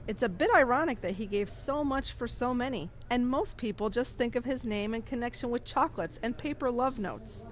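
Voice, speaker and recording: A woman playing a kindly, patient nun who teaches through measured storytelling in an audio drama. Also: a little high, natural voice. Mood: patient, reflective. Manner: didactic, measured.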